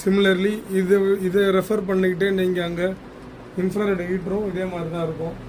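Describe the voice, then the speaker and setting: A man giving an unscripted product demonstration, mixing in English terms, halting and repeating words as he explains. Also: high voice, level tone, a little mellow, a little hiss or hum, poor audio.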